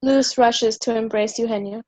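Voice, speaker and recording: A woman's voice: fairly high pitch, fairly even intonation, moderate pace, darkish tone, no room sound. A woman giving scripted narration, reading out the stage directions of a play.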